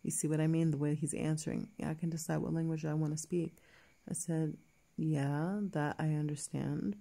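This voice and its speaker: Low, gravelly-voiced woman telling a story. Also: warm tone. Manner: chatty, many fillers and restarts, quotes others in dialogue. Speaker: vlogger. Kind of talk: casual storytelling to camera.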